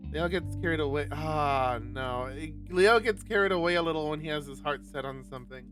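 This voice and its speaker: A man streaming a visual novel, reading it aloud and commenting casually and expressively, thinking out loud and swearing. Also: fairly high pitch, fairly quick. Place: live game stream. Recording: distant mic, music over voice.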